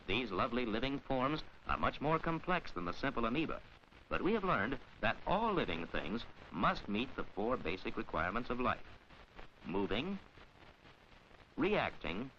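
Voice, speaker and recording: A man delivering formal scripted narration in the measured, instructional manner of a mid-century educational science film, with careful enunciation. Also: mid-range voice. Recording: dull, hissy 1940s film soundtrack.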